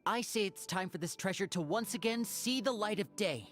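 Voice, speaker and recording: A man's voice: high voice, animated, moderate pace, fairly bright tone, faint music behind. A young performer voicing a confident young male magician who speaks in a light, assured, persuasive way.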